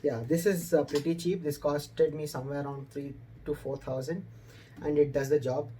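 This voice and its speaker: Young Indian man, mellow higher-pitched voice. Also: flat delivery, moderate pace, a little hiss or hum, poor audio. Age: young adult. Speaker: motorcycle vlogger. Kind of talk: casual vlog talk to camera. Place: indoors in a room.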